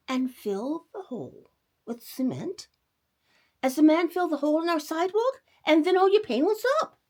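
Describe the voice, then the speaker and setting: Woman playing a high-voiced, coaxing grandmother. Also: heavily acted. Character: kindly, coaxing grandmother offering reassurance.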